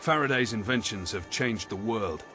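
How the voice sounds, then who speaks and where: An actor voicing a grave, resolute army commander in a video game, formal and authoritative in manner. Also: male voice, moderate pace, close to an everyday voice. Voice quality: low-pitched. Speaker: video game character, military officer. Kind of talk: cinematic game voice acting. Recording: game music and rumbling effects behind.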